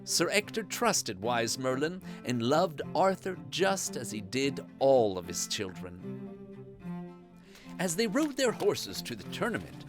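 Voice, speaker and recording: An expressive narrator tells a children's bedtime story with animated, wide-ranging intonation. The delivery takes its time, with music playing softly behind the voice. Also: medium pitch, takes it slowly.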